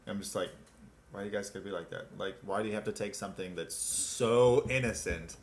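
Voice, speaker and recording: A young man co-hosting a casual comedic chat, giggly and animated as he tells stories. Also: lowish voice, fairly quick, a little hiss or hum. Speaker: co-host.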